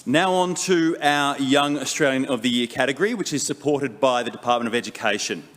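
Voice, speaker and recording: A man hosting an awards ceremony, asking gentle, measured questions on stage. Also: mid-range voice. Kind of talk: onstage interview and emceeing. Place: large event hall. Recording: long hall reverb.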